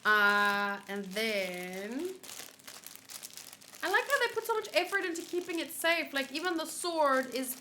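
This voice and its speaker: A woman's voice: high voice, big pitch swings, unhurried, pushed and bright, slight background noise. A woman streamer chatting casually and giggling as she unboxes and assembles a figure, answering her chat.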